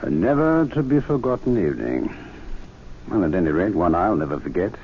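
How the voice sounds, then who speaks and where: An actor playing an urbane, calm, wryly measured clubman in a radio drama. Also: low voice. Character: urbane, unruffled clubman, mildly amused peacemaker. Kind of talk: old-time radio drama acting. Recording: dull hissy vintage radio recording.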